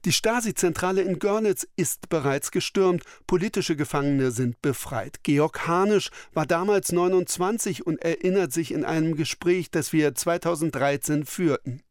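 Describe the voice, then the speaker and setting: A male documentary narrator reads a script in the present tense. His voice sits a little high and the sound is very dry. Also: normal speed, few pauses, no room sound.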